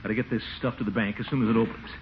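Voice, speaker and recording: An actor playing a pompous, flustered middle-aged uncle in a 1940s radio comedy, blustering and muttering to himself. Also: male voice, on the low side, steady tone, very fast, natural voice. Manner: blustery, muttering, self-important. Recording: dull, noisy 1940s radio recording.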